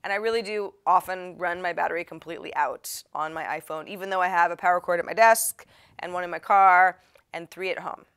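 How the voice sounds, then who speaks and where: A woman's voice: fairly low pitch, fairly even intonation, brisk, a bit bright, clear, resonant tone. A woman hosting a show, talking to her audience in a chatty, playful, wry way that is part scripted and part casual. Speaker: host of a tech tips show.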